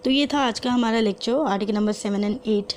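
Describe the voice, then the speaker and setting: A woman's voice: fairly high pitch, slight background noise. A woman with a Pakistani accent teaching in a methodical, explanatory lecture style, reading out and translating formal text. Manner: methodical, slow and deliberate when reading quoted text. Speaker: law lecturer.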